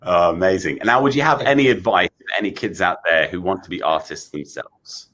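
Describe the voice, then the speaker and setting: A man's voice: sits low, a little fast, a bit bright. A man hosting a casual interview for children, asking the questions.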